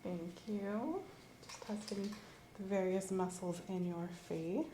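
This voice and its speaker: A woman doing an ASMR medical roleplay as an examining clinician, giving calm, gently coaxing clinical instructions. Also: mid-range voice, slow pace. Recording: roomy echo, faint background hiss.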